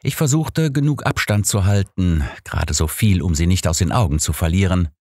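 A man's voice: sits low, animated, normal speed, a little mellow, no room sound. A man narrating a scripted first-person story as an audiobook reader, close on a studio microphone.

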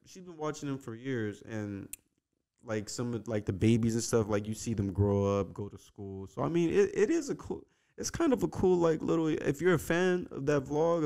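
A man's voice: mid-range voice, gravelly, dark tone. A young man co-hosting a podcast in casual, slangy conversation, repeating himself as he makes his case, on a close microphone. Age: young adult. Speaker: podcast co-host. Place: small indoor podcast room. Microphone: close podcast microphone on boom arm.

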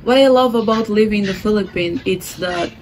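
A young woman from Angola, a guest in a casual interview, talking in a relaxed, thoughtful way with soft laughs. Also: mid-range voice, fairly quick. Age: young adult. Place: indoors, room with a red wall and a barred window. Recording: noisy home video.

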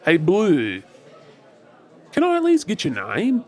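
A man's voice: sits high, wildly swooping pitch, exaggerated voice. Man acting a swooping, tipsy Australian flirt. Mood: pestering, playful. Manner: teasing, drawling, halting with hesitations. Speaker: audio roleplay performer. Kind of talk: ASMR audio roleplay, flirty monologue to the listener. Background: faint bar crowd chatter.

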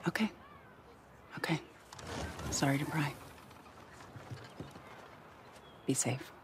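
An actress playing a stern but caring middle-aged settlement leader in a naturalistic game cutscene, speaking plainly and firmly. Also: female voice, low-pitched, measured pace, background noise. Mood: concerned, gentle. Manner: plainspoken, firm.

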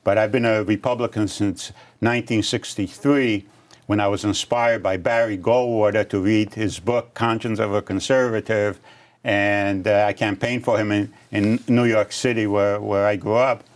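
An older man from Romania, a candidate being interviewed in a studio, answering in a measured way with many restarts. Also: on the low side, steady tone. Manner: measured, with frequent restarts and fillers. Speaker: congressional candidate guest. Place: dark studio set, seated at table.

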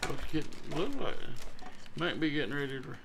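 Gravelly man reading labels aloud. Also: fairly high pitch, big pitch swings, normal speed, background noise. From Ohio, USA. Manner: short quips, reads labels aloud. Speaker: co-host husband. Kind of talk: casual unboxing chat. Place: home room with table, indoors.

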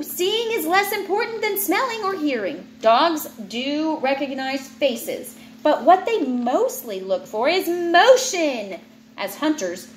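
A woman in her thirties reading a children's book aloud expressively, like a teacher, breaking off into playful, chatty asides. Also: high voice. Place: indoors in an open room at home with high ceiling. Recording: roomy home recording with clear background noise.

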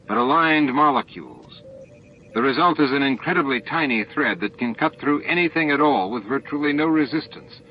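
A man's voice: medium pitch, muffled recording, a little hiss or hum, voice pushed up, natural voice. A man reading the part of an eager, technically minded male starship engineer in an emphatic, explanatory manner.

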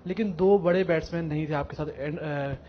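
A man's voice: on the high side, fairly quick, lo-fi sound, slight background noise. A man, a reporter asking a question at a press conference.

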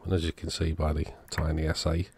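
Gravelly, very low-voiced man narrating repairs. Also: completely flat delivery, a little fast, darkish tone, slight background noise. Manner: matter-of-fact, thinking aloud. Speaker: electronics repair YouTuber. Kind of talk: informal hands-on repair narration.

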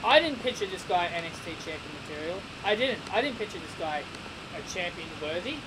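Excitable high-voiced young man, noisy webcam. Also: a little fast. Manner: excitable, repeats himself, stammering restarts. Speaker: wrestling reviewer. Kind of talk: casual webcam review. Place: small bedroom. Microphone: webcam at desk. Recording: noisy home webcam recording.

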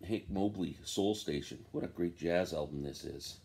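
A man's voice: deep voice, moderate pace, darkish tone, husky. A man in his fifties, sitting at home and casually chatting about records he bought, rambling and enthusiastic. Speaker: vinyl collector vlogger. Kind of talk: casual record show-and-tell. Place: home room with framed posters, indoors.

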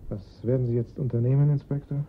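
A husky-voiced, strained father in a radio crime play, acted by a man. He is insistent and keeps justifying himself. The recording is muffled and lo-fi. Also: medium pitch, fairly even intonation, quick pace, natural voice. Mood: defensive. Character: strained father of a kidnapped boy, engineering draughtsman. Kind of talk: German radio crime drama acting.

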